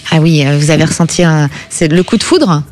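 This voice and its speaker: A middle-aged woman hosting a call-in radio psychic show, advising a caller gently and often adding a little "hein". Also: deep voice, brisk, soft background music. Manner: reassuring, gently advising, frequent tag "hein".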